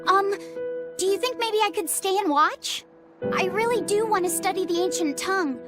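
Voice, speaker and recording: A woman's voice: very high-pitched, normal speed. A woman voicing a shy, earnest young boy in a video game, polite and hesitant. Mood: nervous, polite. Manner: hesitant, polite, stammers when flustered. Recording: game audio with music behind.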